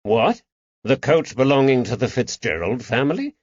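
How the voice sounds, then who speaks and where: A man playing a patient, careful detective in an audiobook mystery, measured and gently firm as he questions. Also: mid-range voice, very animated, husky, dry recording, dull audio. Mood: probing.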